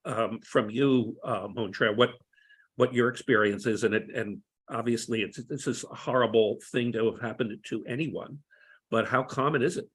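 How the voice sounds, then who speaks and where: A man co-hosting a podcast introduces each guest in turn, speaking in a measured way with many small hesitations. His voice sits in an ordinary middle pitch. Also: fairly even intonation, husky. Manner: measured, with frequent fillers. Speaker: podcast co-host.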